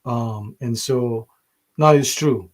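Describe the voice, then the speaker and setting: A man's voice: medium pitch, slow. A man from Ghana co-hosting a podcast, talking in an emphatic, motivational way with many restarts. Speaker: podcast co-host. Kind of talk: casual discussion.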